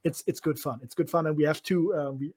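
A German man chats on a podcast in English, his second language. His voice sits a bit high and sounds husky. He often repeats words and laughs as he goes. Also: a little fast, a little mellow. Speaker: podcast co-host. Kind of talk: casual chat.

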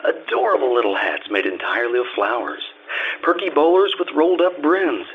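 A man's voice: very animated, fairly quick. A man reading a scripted commercial as an old-time radio announcer, with an emphatic selling delivery. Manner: emphatic sales pitch. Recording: very tinny band-limited audio with noise.

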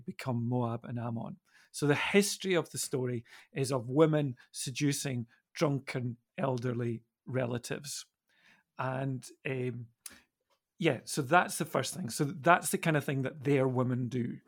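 A man with a very creaky, medium-pitched voice speaks as a podcast panellist. He explains things thoughtfully, with halting phrasing. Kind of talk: explanatory discussion.

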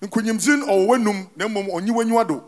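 Male interpreter, high voice, tracks the preacher phrase by phrase in church. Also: fairly quick. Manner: follows the preacher line by line. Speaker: sermon interpreter. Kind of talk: interpreting a sermon.

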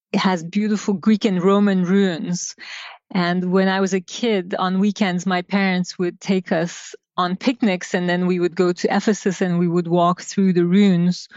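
Slow, reflective woman from Turkey. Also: mid-range voice, a little mellow, dry recording. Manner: unhurried, reflective, with many pauses and fillers. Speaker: guest designer. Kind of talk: casual interview storytelling. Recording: dull lo-fi sound.

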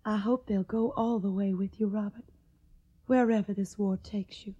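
A woman's voice: medium pitch, steady tone, normal speed, dark tone, slightly rough, muffled recording, natural voice. An actress playing a tender, troubled and stubborn young Tennessee woman in a Civil War radio drama, speaking earnest, wistful, folksy lines with a Southern accent. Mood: upset, tender.

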